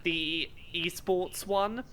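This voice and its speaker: A woman's voice: on the low side, extremely slow, background noise. A woman co-hosting a podcast, chatting casually in an emphatic, amused way as she gives commentary. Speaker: podcast co-host.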